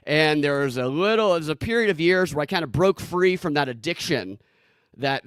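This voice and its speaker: A man in his thirties talks over a video call in a smooth, clean voice with a dry, close sound. He jokes sarcastically and rambles from one topic to the next in a relaxed conversation. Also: mid-range voice, no echo. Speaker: podcast host, guest here. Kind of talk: casual interview chat.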